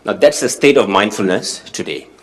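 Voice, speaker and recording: A man's voice: lowish voice, animated, normal speed, gravelly, breathy edge. A man from Singapore in his forties giving a conference talk as a mindfulness teacher, earnest and self-effacing, explaining with frequent fillers. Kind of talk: conference presentation. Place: conference room with audience.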